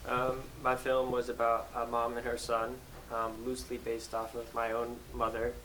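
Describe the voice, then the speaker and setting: A man of twenty-four on a film panel, talking haltingly with many fillers and a self-deprecating aside. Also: mid-range voice, monotone. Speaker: panelist filmmaker. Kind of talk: casual panel answer. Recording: noisy room recording.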